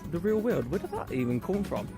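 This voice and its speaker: A man's voice: a little high, very animated, fairly quick, gravelly, music in the background. A man in his thirties chatting casually outdoors, often repeating and correcting himself, filmed at arm's length. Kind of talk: casual chat. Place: outdoors on a residential street. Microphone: phone or camera held at arm's length.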